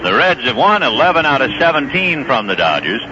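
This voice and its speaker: A man calling a baseball game play by play on the radio, chatting easily about the players between pitches. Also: a little fast, background noise. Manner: relaxed, conversational storytelling between pitches. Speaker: play-by-play announcer. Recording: dull lo-fi 1970s radio broadcast.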